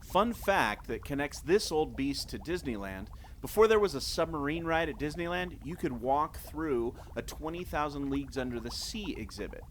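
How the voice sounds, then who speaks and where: A man acting as an inquisitive, enthusiastic adventurer in an audio drama, speaking earnestly and excitably. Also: a little high, moderate pace, hardly any pauses, slight background noise, lightly acted. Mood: curious, earnest. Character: enthusiastic, inquisitive male adventurer and park trivia buff.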